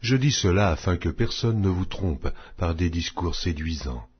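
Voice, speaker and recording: A man narrating a Bible audiobook in a solemn, scripted, measured and declamatory way. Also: deep voice, expressive, slightly rough. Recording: dull, muffled lo-fi audio.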